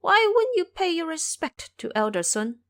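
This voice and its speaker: Woman playing a cocky, high-pitched monkey monk. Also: sing-song, moderate pace. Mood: indignant boasting. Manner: boastful, cheeky. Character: cocky hideous monkey monk, brash monster-catcher. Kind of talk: audio drama character voice.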